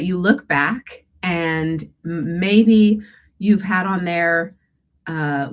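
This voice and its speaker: Low-voiced, slightly stuffy woman coach. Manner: rambling, self-correcting, frequent "right?". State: a little stuffy from a cold. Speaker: declutter coach hosting a call-in show. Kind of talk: casual coaching talk with breathing guidance.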